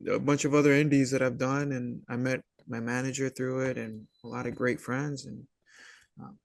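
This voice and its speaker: A man's voice: mid-range voice, flat delivery, moderate pace, creaky, no room sound, clean studio sound. A man chatting casually as an interview guest, rambling and hesitant, with plenty of slang. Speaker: interview guest, actor and filmmaker. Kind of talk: casual chat.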